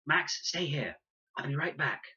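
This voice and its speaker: A man's voice: on the high side, expressive, unhurried, bright, forceful tone, husky, lo-fi sound, voice pushed up, full character voice. A man voicing a caring, honest young girl, an earnest and protective big sister in a storybook. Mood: hesitant, eager.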